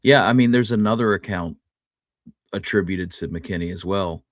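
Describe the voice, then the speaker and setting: A man's voice: low-pitched, normal speed, no room sound, studio quality. A man co-hosting a history talk show, explaining and reasoning through points in a conversational way. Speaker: podcast co-host.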